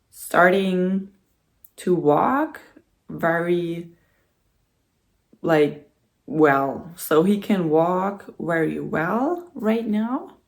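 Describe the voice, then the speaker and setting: Young german woman rambling slowly to her phone cam at home, lots of little laughs. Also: medium pitch, a little mellow. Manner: rambling, chatty, laughs between phrases. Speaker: vlogger. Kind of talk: casual vlog chat. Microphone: phone camera.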